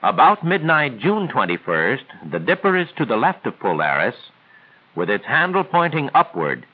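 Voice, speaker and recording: A man reads scripted 1940s training film narration in a measured, formal, instructional way. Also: mid-range voice, huge pitch swings. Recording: tinny band-limited 1940s film soundtrack.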